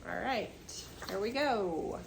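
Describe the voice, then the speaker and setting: A woman's voice: mid-range voice, animated, takes it slowly, gravelly, noisy background. An older woman reading a children's picture book aloud expressively, with chatty asides and questions to young listeners.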